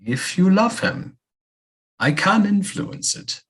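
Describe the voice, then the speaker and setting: A man acting a devoted, cryptic ghost of an old seer in an audio play, husky and courtly. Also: sits high, animated, slow, fairly bright tone, exaggerated voice. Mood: dismissive, coaxing. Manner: courtly, solemn, archaic diction. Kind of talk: theatrical audio drama acting.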